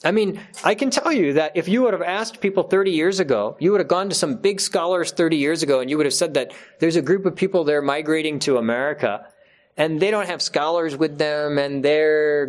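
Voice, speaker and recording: A man speaks to his community at a gathering, delivering a spontaneous religious talk. His manner is earnest and emphatic. He often restates a line to drive it home. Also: on the high side. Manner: earnest, emphatic, repeats key phrases for stress. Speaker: religious teacher addressing his congregation.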